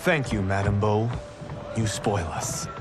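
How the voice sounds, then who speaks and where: An actor playing a restless, cocky farmer who craves glory, earnest and expressive, in a video game cutscene. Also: male voice, lowish voice, a little slow, music in the background, lightly acted. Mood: polite.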